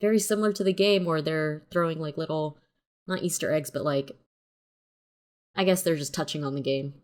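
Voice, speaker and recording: Casual woman co-host with a lowish voice. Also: normal speed, a little mellow. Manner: informal, peppered with "like". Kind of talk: casual chat.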